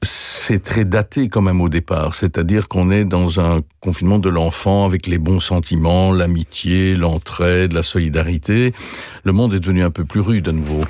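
A low-voiced radio host speaks close to a studio mic in a dead, dry room. He talks in a friendly, rambling way, stopping often with fillers. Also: steady tone, normal speed, a little mellow, dry recording. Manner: chatty, hesitant with fillers. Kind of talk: radio interview.

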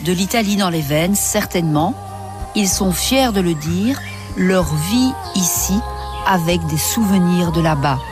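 A woman narrating a radio documentary in a calm, measured read. A clear music bed plays beneath her voice. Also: on the low side.

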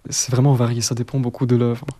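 A man in his twenties from Poland, a cellist answering interview questions in a second language, soft-spoken and hesitant with many fillers. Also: medium pitch, level tone, quick pace, loud music behind the voice. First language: Polish. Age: 20s.

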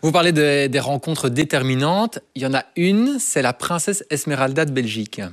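A man hosting a talk show, introducing segments and questioning a guest in a measured way. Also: a little high, animated, no echo, clean studio sound. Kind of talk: interviewing a studio guest.

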